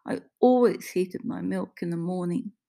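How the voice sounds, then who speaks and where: A woman voicing a frail elderly care home resident who complains quietly and with resignation. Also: lowish voice, normal speed, creaky. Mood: resigned.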